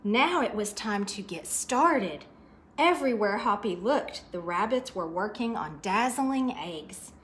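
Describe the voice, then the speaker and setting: Animated, slow-paced woman reading a storybook. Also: medium pitch. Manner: child-directed, with asides about the pictures. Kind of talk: expressive picture book read-aloud.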